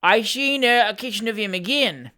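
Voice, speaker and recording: A woman puts on a fat young servant lad from a storybook. He is solemn, sly and emphatic, pitched up and loud, with rough rustic grammar. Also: expressive, normal speed. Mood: gloating. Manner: emphatic, roaring, rustic ungrammatical speech. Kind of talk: storybook character reading.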